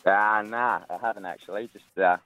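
A young Australian man in his late twenties with a lowish voice. He speaks casually and plainly, with faint music behind him. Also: steady tone, normal speed, sharp tone, poor audio. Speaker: electrician, dating segment contestant. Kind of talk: casual, plain-spoken chat.

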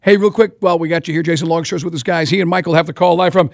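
A man's voice: on the high side, steady tone, gravelly, dry recording, studio quality. A man co-hosting a radio sports talk show, bantering and joking with his guest. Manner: jokey, rapid banter.

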